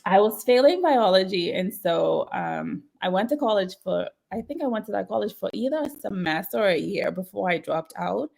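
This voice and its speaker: A woman, a podcast guest telling her life story in lively casual chat and laughing often. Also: medium pitch, moderate pace, creaky, few pauses. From Bahamas.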